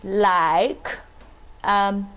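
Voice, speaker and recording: A woman's voice: mid-range voice, drawn-out words, clean tone. A middle-aged woman, a confidence coach appearing as a guest, speaking expressively and often tagging her points with "right?". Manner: expressive, frequent "right?" tags. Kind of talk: casual talk show guest.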